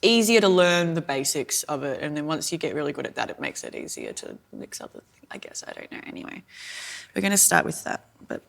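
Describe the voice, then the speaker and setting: A woman's voice: low voice, big pitch swings, a little fast, creaky. A woman in her early thirties co-hosting a podcast, chatting in a rambling, giggly way. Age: 30s. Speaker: podcast co-host. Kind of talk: casual chat.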